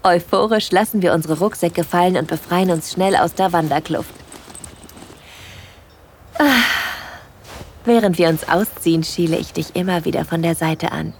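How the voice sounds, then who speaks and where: A woman playing a smitten hiker who narrates her date intimately in a breathy, playful way for an erotic audio drama. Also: fairly low pitch, moderate pace, long pauses, slight background noise, lightly acted. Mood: tender, intimate.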